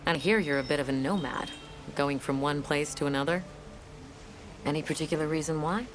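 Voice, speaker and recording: An actress voicing a reflective, restless woman in a game cutscene, musing and gently self-deprecating. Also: deep voice, brisk, lightly acted. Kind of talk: video game cutscene acting. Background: game score music.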